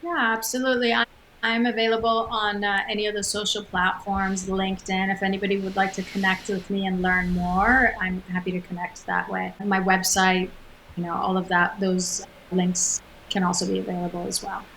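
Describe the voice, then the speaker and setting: A woman's voice: medium pitch, flat delivery, normal speed, a bit bright, slight background noise. A woman speaking as a podcast guest, answering conversationally in an interview. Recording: roomy, echoing sound.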